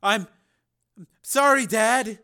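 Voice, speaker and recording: A man's voice: high-pitched, very slow, gravelly, pushed and bright, pitched above the performer's own voice, exaggerated voice. A man voicing a beaten, sedated son, weak and apologetic, with thick, slurred speech in an audiobook drama. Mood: groggy, sorry.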